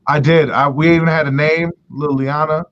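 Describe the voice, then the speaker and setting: A man's voice: fairly high pitch, fairly even intonation, moderate pace, fairly bright tone, muffled recording. A man in his thirties hosting a casual group chat, loose, joking and profane. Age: 30s. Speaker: podcast host.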